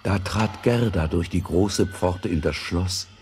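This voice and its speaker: A man's voice: sits low, takes it slowly, darkish tone, soft background music. A man narrating a scripted fairy tale as the storyteller of an audio drama.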